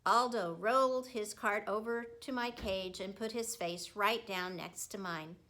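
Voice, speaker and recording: Female storybook reader, teacherly; slow, expressive delivery, bright and fairly high. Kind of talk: children's storybook narration.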